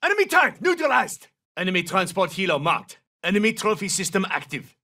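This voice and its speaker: A tough video game soldier with a high, hugely varied pitch. He delivers terse tactical callouts in a clipped, commanding way. The sound sits a little distant from the mic. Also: huge pitch swings, a little fast, bright, forceful tone, full character voice. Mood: terse, focused. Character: tough, terse male special forces soldier. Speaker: video game operator voice actor. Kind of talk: military shooter game callouts, short barked lines.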